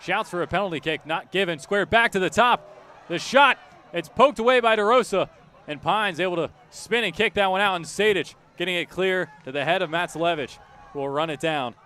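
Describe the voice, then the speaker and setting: A man's voice: sits high, brisk, pushed and bright, slight background noise. A man doing live radio play-by-play of a soccer match, describing each touch in clipped, urgent, emphatic phrases. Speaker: play-by-play announcer.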